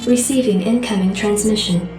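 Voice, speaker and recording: An actress playing a calm, impersonal female ship computer giving formal, emotionless briefings in a video game. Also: medium pitch, moderate pace. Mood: impassive. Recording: heavily echoing voice over music.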